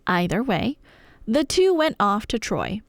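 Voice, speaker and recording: A woman telling a scripted myth as ASMR roleplay, conversational and wry, with dramatic pauses and emphasis. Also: mid-range voice, sing-song, gravelly, no room sound, clean studio sound.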